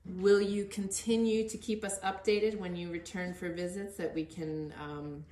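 A middle-aged woman with a notably low voice, recorded in an echoey room. She hosts a conversational interview and speaks with earnest encouragement. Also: fairly even intonation, a little slow, mellow. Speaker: podcast host interviewing.

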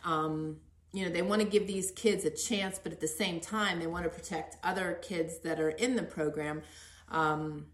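A woman's voice: lowish voice, fairly even intonation, moderate pace, few pauses. A middle-aged woman hosting a conversational podcast interview in an earnest, encouraging manner. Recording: roomy, echoey sound.

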